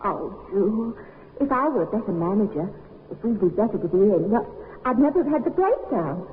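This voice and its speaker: A woman's voice: medium pitch, sing-song, brisk, close to an everyday voice. An actress playing a flirtatious, wistful Englishwoman with a fluttery, hesitant manner in a 1950s radio drama. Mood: wistful, emotional. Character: flirtatious married Englishwoman on holiday, giddy and wistful. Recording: noisy, tinny 1950s radio recording.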